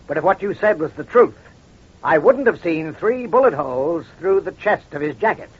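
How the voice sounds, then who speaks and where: An actor playing a shrewd, self-assured crime doctor in an old radio detective drama, speaking in a deliberate, accusatory way. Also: male voice, on the high side, expressive, slightly rough. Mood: methodical, confident. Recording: dull, muffled old radio recording.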